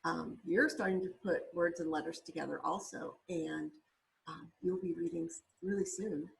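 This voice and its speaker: A woman reading a storybook aloud to young children in a deliberate, teacherly way, sounding words out carefully. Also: fairly low pitch, vocal fry, low-quality recording. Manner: deliberate, spells words out letter by letter.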